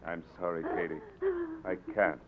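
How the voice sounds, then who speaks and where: An actor with an Irish accent playing a stern, weary rebel commandant in a 1940s radio drama. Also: male voice, low-pitched, little pitch movement, moderate pace, natural voice. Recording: tinny old radio broadcast with hiss.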